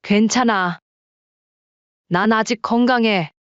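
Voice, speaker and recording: A woman's voice: a little high, slow, clean tone, no room sound, dull audio. A woman voicing a widowed older farm mother who is wary and blunt with her sons. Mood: suspicious.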